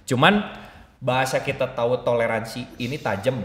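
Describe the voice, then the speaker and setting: A man's voice: medium pitch, normal speed, a bit bright, in a large hall. A man giving informal, explanatory talk as a sound engineer, using everyday examples. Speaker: sound engineer guest sharing tips.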